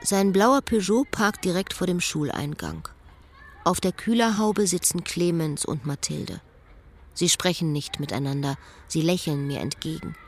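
A woman acting as a reflective schoolteacher, telling her own story in measured, literary radio drama monologue. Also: fairly low pitch, slight background noise. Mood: pensive.

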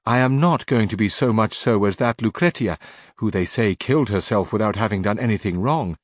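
A man's voice: on the low side, no room sound. Male reader voicing a vengeful noblewoman, impassioned, declamatory, dry. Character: proud indignant noblewoman, vengeful.

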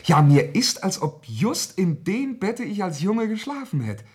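An actor playing a tender, fanciful dreamer of a son in a radio drama, speaking in a lyrical, soothing, coaxing way. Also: male voice, high voice, big pitch swings, a little slow, a little mellow. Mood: tender reminiscing. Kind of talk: theatrical radio drama acting.

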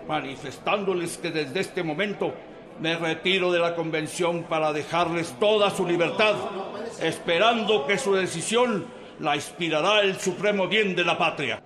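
An actor playing a stern revolutionary leader giving a formal, grave speech to assembled officers in a radio drama. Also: male voice, fairly high pitch, normal speed, long reverb tail, crowd noise, lightly acted. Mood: solemn. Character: stern aging revolutionary leader resigning command.

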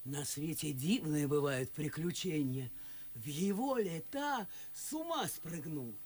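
A woman's voice: low voice, animated, slow, a little mellow. A woman playing a blunt, grumbling old society lady in a radio verse comedy. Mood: disapproving. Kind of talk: classic verse comedy radio play acting.

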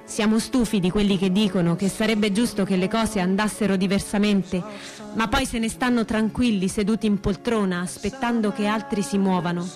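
An actress playing an earnest, resolute young woman militant in a radio theatre monologue, speaking in measured, declarative short phrases. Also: medium pitch, steady tone, darkish tone, lightly acted. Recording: music under the voice.

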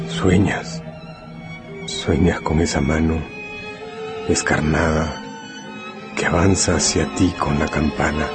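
A man narrating a radio novel in a solemn, deliberate, dramatic second-person style. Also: low-pitched. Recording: music bed under the voice. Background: music.

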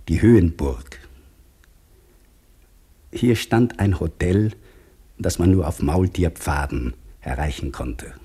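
Uneasy learned man telling his story in a radio play, deep husky voice, takes his time, measured. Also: dark tone, exaggerated voice. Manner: measured, reflective, dropping to near whisper. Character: anxious geology professor starting over as a dam labourer. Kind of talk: German radio play first-person narration.

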